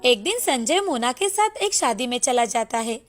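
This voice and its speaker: A woman's voice: high voice, big pitch swings, moderate pace, faint music behind. A woman reading scripted narration for a moral story.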